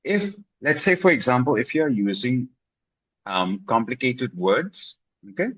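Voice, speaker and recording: Husky-voiced man, encouraging tutor. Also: medium pitch, very animated, a little slow, fairly bright tone, no echo, rough recording. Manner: encouraging, repeats himself for emphasis with "okay?" and "right?". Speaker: English tutor. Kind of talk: casual video-call conversation.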